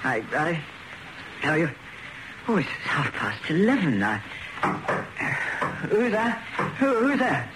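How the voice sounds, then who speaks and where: A man's voice: a little high, wildly swooping pitch, slow, long pauses, exaggerated voice. An actor playing an anxious, groggy man in a radio drama, stammering and hesitant as he waits for a friend. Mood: groggy, confused. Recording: noisy radio drama recording.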